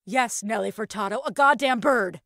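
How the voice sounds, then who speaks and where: A woman's voice: fairly high pitch, moderate pace, a bit bright, no echo, studio quality. A woman playing a melodramatic, profane, panicked and indignant woman in an audio fiction drama. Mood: exasperated yelling. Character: Gloria, foul-mouthed, panicked, screaming woman under demonic attack.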